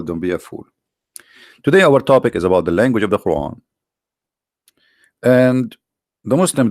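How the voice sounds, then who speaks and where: Host, fairly low voice, dry close sound, scornful and emphatic delivery. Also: male voice, a little mellow, dry recording. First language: Arabic. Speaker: online debate host. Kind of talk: combative commentary to viewers.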